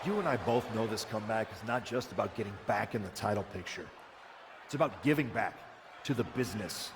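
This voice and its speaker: A man's voice: on the low side, expressive, a little fast. A male actor playing a firm but supportive wrestling general manager in a video game cutscene, earnest and persuasive. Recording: noisy venue with crowd behind.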